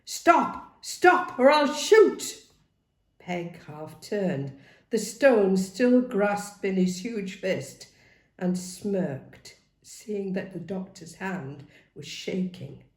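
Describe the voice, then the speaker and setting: A woman reading a novel aloud as dramatic, expressive narration. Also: fairly low pitch, drawn-out words. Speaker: story reader. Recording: roomy, echoing sound.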